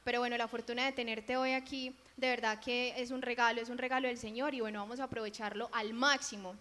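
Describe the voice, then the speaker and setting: A woman's voice: a little high, steady tone, fast, bright, forceful tone. A woman hosting a faith interview, asking her guest questions in a friendly, inviting way. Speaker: interview host.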